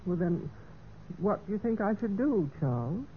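An actress playing a trusting, lonely young heiress in a vintage radio mystery, speaking with earnest, genteel gentleness. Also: female voice, mid-range voice, sing-song, normal speed, narrow, tinny audio, lightly acted. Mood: hesitant. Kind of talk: 1940s-50s radio mystery acting. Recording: noisy band-limited old radio recording.

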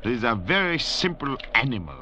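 An actor plays a haughty, calculating middle-aged doctor leading escaped convicts, speaking precisely and with command in old radio drama style. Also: male voice, medium pitch, very animated, brisk, bright, forceful tone. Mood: cool disdain. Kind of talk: 1950s radio drama acting. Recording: dull, noisy vintage radio recording.

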